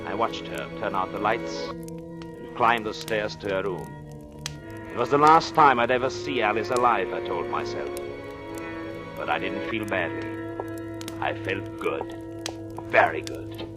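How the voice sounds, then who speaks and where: An actor playing a scheming married doctor in a 1940s radio thriller, intense and deliberate in his dramatic delivery. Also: fairly low pitch, loud music behind the voice, lightly acted. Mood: brooding, tense. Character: scheming married doctor coldly plotting his wife's murder. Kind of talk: 1940s radio thriller acting with first-person narration.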